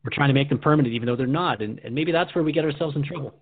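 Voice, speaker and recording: A man's voice: on the high side, rapid-fire, narrow, tinny audio. A man hosting a radio show, speaking reflectively in scripted radio drama dialogue.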